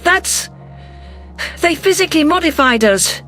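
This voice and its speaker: A male narrator with a notably high voice tells a first-person science fiction story. The delivery is scripted storytelling, with music playing softly underneath. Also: lively intonation, a little slow.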